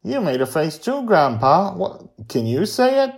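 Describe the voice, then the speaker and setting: A stubborn, curious nine-year-old girl acted out by a male storyteller, pitched high and swooping up and down. She questions everything and keeps pressing, in animated story dialogue. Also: measured pace, vocal fry, exaggerated voice. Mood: pressing, teasing. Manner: insistent, questioning.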